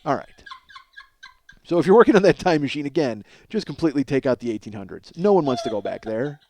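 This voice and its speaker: A man in his forties hosting a comedy podcast, rambling in an animated, profane monologue and often cutting himself off. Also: medium pitch, quick pace, slight background noise. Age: 40s. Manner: animated, emphatic, self-interrupting riffing.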